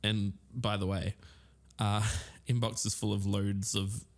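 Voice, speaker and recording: A man acting as an amiable investigator on a mystery podcast. His voice sits a little low, and he narrates strange cases conversationally, like a documentary co-host. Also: flat delivery, slow pace. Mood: casual.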